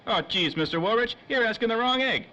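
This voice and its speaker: Streetwise shoeshine kid, high and bright, eager and deferential, old radio drama sound. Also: male voice, lively intonation, brisk, exaggerated voice. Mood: nervous, earnest. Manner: street-vendor patter, earnest and deferential. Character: eager, nervous young shoeshine boy, streetwise. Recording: dull, faintly noisy old radio recording.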